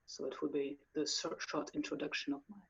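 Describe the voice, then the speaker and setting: A woman's voice: sits low, sing-song, fairly quick, creaky, fairly bright tone, breathy edge. A woman from France, speaking English as an in a video call interview, hesitant, with many fillers and restarts. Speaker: guest musician. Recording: dull lo-fi audio.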